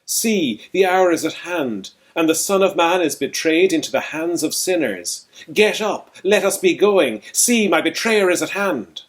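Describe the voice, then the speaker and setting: A man's voice: a little high, lively intonation, unhurried, hardly any pauses. A man of the clergy reading the words of Jesus in a solemn, dramatised scripture reading.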